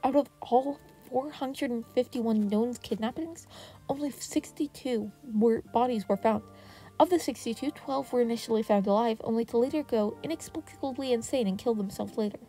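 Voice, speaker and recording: Woman as an earnest, mellow-voiced teenage boy. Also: a little high, moderate pace, faint music behind, close to an everyday voice. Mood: explaining, determined. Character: earnest, guarded, clever teenage boy student.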